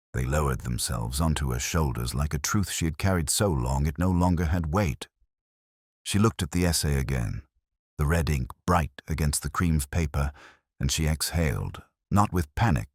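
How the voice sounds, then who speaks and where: A man reading a scripted first-person mystery story in a measured, solemn, literary manner. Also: extremely low pitch, no echo, clean studio sound. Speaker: audio drama narrator.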